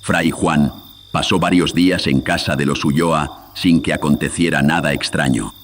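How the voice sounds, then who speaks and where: An extremely low male voice narrates a horror radio drama. He tells it solemnly and dramatically, like an old radio serial, through a processed filter. Crickets and faint noise sit behind him. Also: steady tone, moderate pace.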